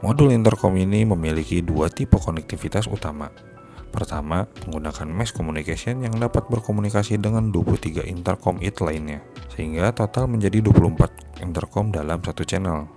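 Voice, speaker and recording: Deep-voiced man narrating a gadget review. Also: fairly quick, dark tone. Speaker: product reviewer. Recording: music behind the voice. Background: music.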